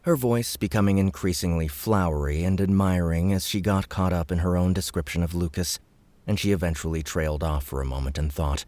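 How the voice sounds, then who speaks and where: A man reads scripted story narration for an audiobook. His voice is low, and the sound is close and dry, with no room around it. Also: a little fast, a little mellow, no echo.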